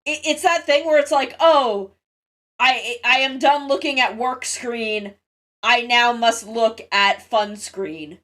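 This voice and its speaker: A woman's voice: fairly high pitch, takes it slowly, a bit bright. A woman with a congested, sniffly nose chats casually to livestream viewers, rambling, interrupting herself and swearing freely.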